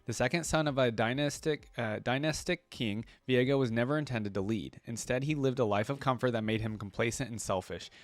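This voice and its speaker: A man's voice: medium pitch, a little fast, hardly any pauses, no room sound. Man with dry, close-up sound, casual reactor. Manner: conversational asides on what he reads. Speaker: reaction video host. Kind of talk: casual reaction commentary, reading lore aloud between remarks.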